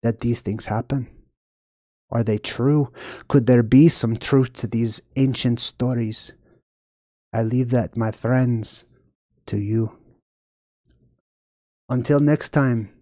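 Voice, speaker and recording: A storyteller hosting and narrating scripted folklore in a dramatic, deliberate way. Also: mid-range voice, fairly even intonation, a little mellow, dry recording, clean studio sound. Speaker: storyteller and channel host.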